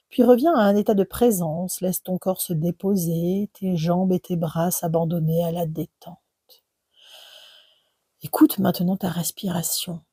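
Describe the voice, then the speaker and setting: A woman leading a guided relaxation in a soft, breathy voice that often nears a whisper, soothing and unhurried, with gentle pauses between short phrases. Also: fairly low pitch, no room sound. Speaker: yoga nidra relaxation guide. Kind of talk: guided meditation narration.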